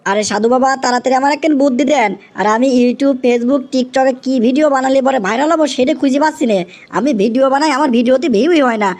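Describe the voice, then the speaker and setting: A man with a Bangladeshi accent voicing an excitable young cartoon bachelor desperate for fame, whiny and pleading, repeating himself emphatically. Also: very high voice, fairly quick. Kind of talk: exaggerated comic cartoon acting.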